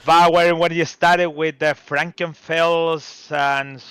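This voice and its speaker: Male color commentator, slow, halting delivery, smooth clear voice. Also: fairly high pitch, flat delivery, fairly bright tone, no echo. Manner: hesitant, many fillers, searching for words. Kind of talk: sim racing broadcast commentary.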